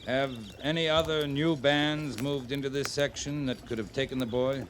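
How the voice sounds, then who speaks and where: An actor playing a steady, earnest US cavalry officer in a radio western, talking in a plainspoken, deliberate way. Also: male voice, medium pitch, close to an everyday voice. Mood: serious, resolute. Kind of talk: 1950s radio western acting. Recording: slightly noisy vintage radio recording.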